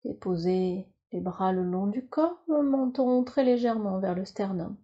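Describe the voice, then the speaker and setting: Slow, soft-edged woman guiding relaxation. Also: mid-range voice, husky, muffled recording. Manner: calm, soothing, instructional, with measured phrases. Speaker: yoga nidra teacher. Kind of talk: guided relaxation meditation.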